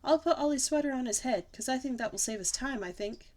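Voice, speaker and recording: A woman voicing a bossy, excitable little girl in an audiobook, with loud, wheedling kid chatter. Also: high-pitched, fast, pitched above the performer's own voice. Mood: excited. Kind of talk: audiobook character voice.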